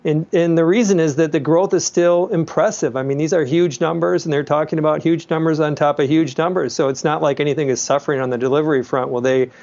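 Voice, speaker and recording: A man speaking as a financial analyst in an interview, in a halting way with repeated words. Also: a little high, fairly even intonation, creaky, darkish tone, highs cut off. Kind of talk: off-the-cuff TV interview commentary.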